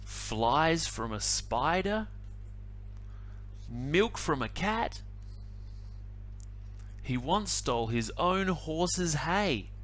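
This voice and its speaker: Animated man reading a picture book. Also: a little high. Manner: animated, slow storytelling with asides. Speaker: teacher reading to children. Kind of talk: expressive picture-book read-aloud.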